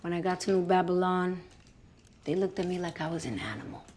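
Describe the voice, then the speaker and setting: An actress playing a guarded woman who speaks in a measured, interrogating way. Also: fairly low pitch, expressive, noisy background, lightly acted. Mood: cold. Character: cold, guarded woman seeking justice. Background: music score.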